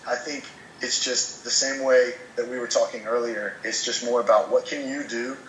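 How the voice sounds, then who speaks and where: A man's voice: medium pitch, steady tone, moderate pace, fairly bright tone, slight background noise. Earnest motivational man in a roomy space. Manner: earnest, motivational, repeats words as he restarts. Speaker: podcast guest. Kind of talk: casual chat.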